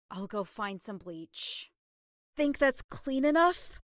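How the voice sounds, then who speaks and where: A woman's voice: medium pitch, sing-song, very slow. An actress playing a reluctant, conscientious woman caught up in a robbery, in an audio drama. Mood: dejected.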